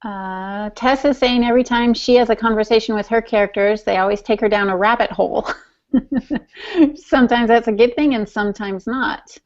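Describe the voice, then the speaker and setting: A woman's voice: fairly high pitch, steady tone, normal speed. A woman co-hosting a podcast in casual conversation, chatty and playfully teasing. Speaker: podcast co-host. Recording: dull, lo-fi podcast audio.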